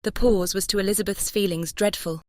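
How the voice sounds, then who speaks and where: A woman's voice: medium pitch, steady tone, fairly quick, darkish tone, dry recording, studio quality. A woman narrating a classic novel as an audiobook, composed and carefully phrased. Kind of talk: scripted literary narration.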